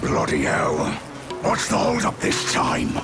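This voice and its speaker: A man's voice: very deep voice, huge pitch swings, unhurried, creaky, loud music behind the voice, exaggerated voice. A man playing a coarse, bullying rat henchman in a video game cutscene, crude and taunting. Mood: threatening.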